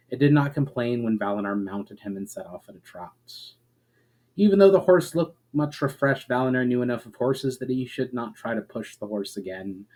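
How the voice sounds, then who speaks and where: A man with a medium-pitched voice speaks as an author hosting his own reading. His delivery is expressive and measured. Phrases tend to settle softly as each sentence closes. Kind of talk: dramatic storybook reading of fantasy prose.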